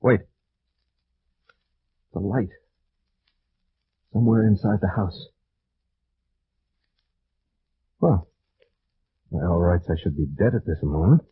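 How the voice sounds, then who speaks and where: Casting: proud young gentleman of old France, formal and indignant, animated pitch, dull vintage radio recording. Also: unhurried, pauses often, slightly rough, exaggerated voice. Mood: tense relief. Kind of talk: 1940s-50s radio drama acting.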